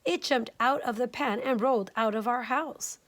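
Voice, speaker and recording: A woman's voice: on the high side, moderate pace, a bit bright, lightly acted. Small storybook boy, dutiful and earnest, read by a woman.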